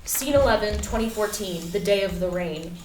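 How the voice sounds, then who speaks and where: A woman's voice: mid-range voice, lively intonation, moderate pace, darkish tone. A woman giving scripted narration of stage directions at a play reading. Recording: distant mic, echoey room, clear noise.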